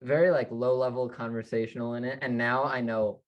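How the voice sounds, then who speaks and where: A young man hosting a casual interview with an even, flat delivery. He asks relaxed questions, padded with "you know" and "like", in a laid-back tone. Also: mid-range voice, brisk, dull audio. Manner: relaxed, filler-heavy questions. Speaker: interview host.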